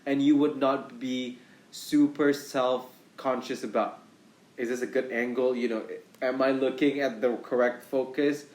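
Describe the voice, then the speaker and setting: A young man co-hosting a casual podcast chat, talking haltingly with lots of restarts and fillers. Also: mid-range voice, little pitch movement, a little mellow. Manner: halting, restarts often, many "like"s. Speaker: podcast co-host. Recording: echoey room.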